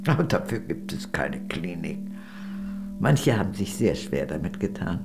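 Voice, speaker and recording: A woman's voice: extremely low pitch, very animated, normal speed, vocal fry, over music, full character voice. An actress playing a worldly, gracious older lady, a former communist, in a radio play, speaking in a genteel, arch, confiding way. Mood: wry, confiding.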